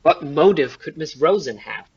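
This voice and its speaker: A man's voice: a little high, lively intonation, moderate pace, muffled recording. A man playing a defensive, evasive trustee in an audio play, speaking in a clipped, indignant way. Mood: questioning. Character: defensive, evasive male estate trustee under suspicion. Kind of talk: amateur audio play acting.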